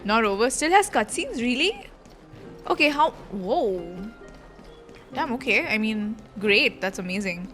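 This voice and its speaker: A woman's voice: a little high, lively intonation, unhurried, a bit bright. A woman streamer giving casual live reaction commentary on a game, chatty, informal and exclamatory, with game music behind her.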